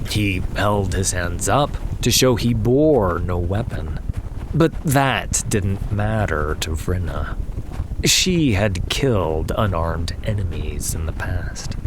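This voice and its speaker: A man narrating a fantasy story as an audio drama, with measured, deliberate, dramatic phrasing. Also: deep voice, noisy background. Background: prominent background music.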